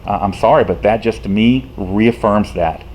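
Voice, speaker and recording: Low-voiced activist guy venting to camera, lots of uh's, faint ac hum behind. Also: darkish tone, poor audio. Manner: frustrated, rhetorical, halting with fillers. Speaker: citizen activist. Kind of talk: casual talk to camera.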